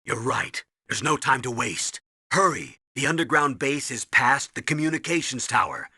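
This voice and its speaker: An actor playing a stern, urgent military colonel who gives mission orders in a commanding, clipped way in a video game. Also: male voice, medium pitch, expressive, normal speed, pushed and bright, slightly rough, no room sound, clean studio sound, exaggerated voice. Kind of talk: dramatic video game radio briefing.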